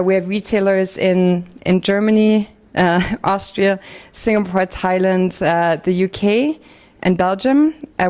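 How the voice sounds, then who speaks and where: A woman's voice: mid-range voice, darkish tone. A woman co-founder presenting her company in a fluent, businesslike way, with occasional restarts. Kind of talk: pitch presentation.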